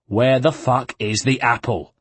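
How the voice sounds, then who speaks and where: A man's voice: medium pitch, steady tone, a little slow, husky, no echo. A man doing a brutal sneering guard captain. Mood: menacing. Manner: loud and taunting.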